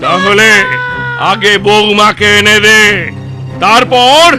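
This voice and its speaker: A man's voice: high voice, steady tone, slow, pushed and bright, faint music behind, heavily acted. A man playing a bold, threatening cartoon exorcist who delivers spells in theatrical, sing-song rhymes. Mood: commanding. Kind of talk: Bengali children's cartoon voice acting.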